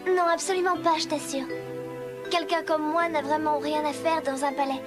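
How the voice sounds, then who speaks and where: A woman voicing a sweet, downtrodden young cartoon heroine with an extremely high voice. She is meek, earnest and obedient, over a clear music bed. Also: steady tone, normal speed, close to an everyday voice. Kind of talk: dubbed fairy-tale cartoon acting.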